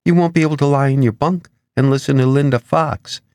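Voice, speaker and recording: Man putting on a higher-pitched, flighty, argumentative woman. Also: fairly even intonation, brisk, a little mellow, dry recording, close to an everyday voice. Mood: fussy. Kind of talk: audiobook character dialogue.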